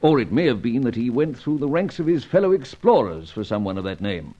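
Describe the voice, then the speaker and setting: A genial spy chief with an urbane, old-fashioned upper-class way of talking. His mid-pitched voice moves up and down freely in classic radio serial style, on a dull, lo-fi recording. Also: big pitch swings, fairly quick, warm tone. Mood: urbane, measured.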